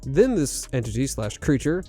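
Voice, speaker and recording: A man's voice: mid-range voice, very animated, normal speed, warm tone, soft background music. A man giving scripted video-essay commentary as a co-host.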